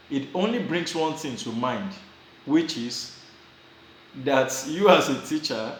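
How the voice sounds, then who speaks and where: A man's voice: mid-range voice, roomy sound, slight background noise, poor audio. A Nigerian man giving casual advice to his viewers, often repeating and restarting his phrases with small chuckles. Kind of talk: casual advice talk to camera.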